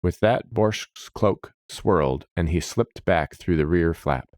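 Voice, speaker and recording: A male storyteller with a low voice narrates a fantasy tale dramatically. The sound is close and dry. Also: expressive, slow pace, a little mellow, no echo.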